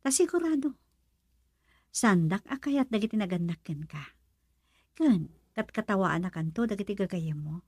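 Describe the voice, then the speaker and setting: A woman playing a gentle, worried young woman in love in a radio romance drama, earnest and plaintive. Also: mid-range voice, animated, moderate pace, long pauses, husky, no room sound, studio quality. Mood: tender, worried.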